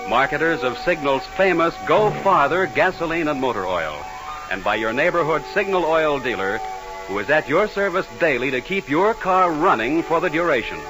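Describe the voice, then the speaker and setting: A man's voice: a little high, low-quality recording. An American man working as a 1940s radio announcer, giving commercials and sign-offs in a polished, emphatic sales delivery. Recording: vintage 1940s radio broadcast.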